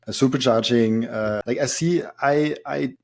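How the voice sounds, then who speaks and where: A man with a German accent, a native German speaker hosting a podcast, who speaks in a halting casual way with many fillers and quiet trailing phrases. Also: mid-range voice, creaky. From Austria. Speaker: podcast host. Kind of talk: casual interview talk.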